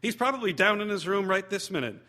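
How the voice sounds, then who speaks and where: A man's voice: sits high, lively intonation, quick pace, pushed and bright, pitched above the performer's own voice. A man voicing a brash, exasperated mother in a story reading, sardonic and salty in manner. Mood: wry complaining. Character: brash, sarcastic, exasperated chain-smoking mother.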